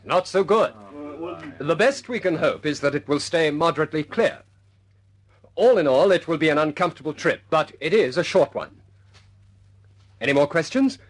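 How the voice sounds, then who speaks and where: An actor playing a composed bomber squadron leader in a wartime radio drama, clipped and military in manner, with a slightly husky voice. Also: male voice, fairly high pitch, expressive, moderate pace, pauses often. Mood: businesslike briefing. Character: brisk British bomber squadron leader, adult man, composed.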